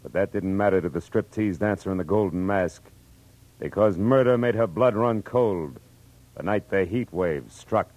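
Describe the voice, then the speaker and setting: A man's voice: deep voice, normal speed, background noise, natural voice. An American man playing a wry, world-weary private eye in a 1940s radio drama, with laconic delivery. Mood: ominous. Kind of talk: 1940s radio drama acting with first-person narration. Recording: vintage 1940s radio broadcast, music underneath.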